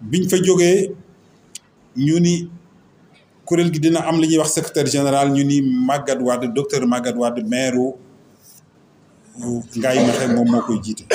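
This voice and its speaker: A man with a Senegalese accent speaking as a local mayor, making a semi-formal announcement in a halting, list-like way. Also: mid-range voice, warm tone. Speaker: local mayor and association vice-president. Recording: roomy echo, faint background noise.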